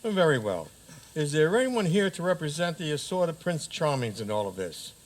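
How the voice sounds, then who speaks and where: A man plays a pompous fairy tale king in a community radio play. The voice is a little high for a man and swings widely in pitch, formal and easily sidetracked, with faint noise on the recording. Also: very animated, moderate pace. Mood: officious. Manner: formal, declamatory. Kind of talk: community radio theater acting.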